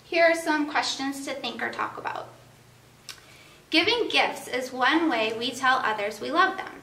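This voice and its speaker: A woman in her thirties hosting a family devotional, reading clearly and steadily in a living room. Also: high voice, animated, a little slow, bright, forceful tone. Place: living room by a Christmas tree, indoors. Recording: roomy, distant camera sound.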